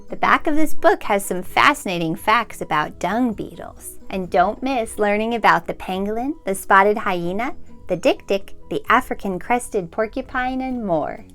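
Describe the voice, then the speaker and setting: A woman's voice: mid-range voice, lively intonation, normal speed, fairly bright tone, soft background music. A woman presenting a read-aloud for children as a storytime host.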